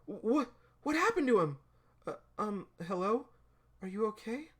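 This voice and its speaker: Someone doing a flustered, high-pitched timid schoolgirl. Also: big pitch swings, measured pace, fairly bright tone, breathy edge, exaggerated voice. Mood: worried. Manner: stammering, hesitant, breaking into exclamations.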